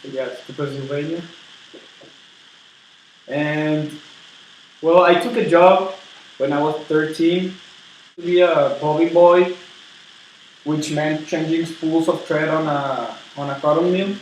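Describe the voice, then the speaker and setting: A man playing an eager, polite steel tycoon in a role-played interview, earnest and halting as he repeats words. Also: fairly high pitch, steady tone, far from the mic. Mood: earnest, reflective. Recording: very echoey room with background noise.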